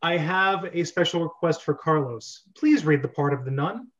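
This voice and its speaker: Young male host, fairly high voice, casual script-club direction over a muffled video call. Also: lively intonation, normal speed, a bit bright. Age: young adult. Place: small bedroom, on a video call. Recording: dull lo-fi video-call audio.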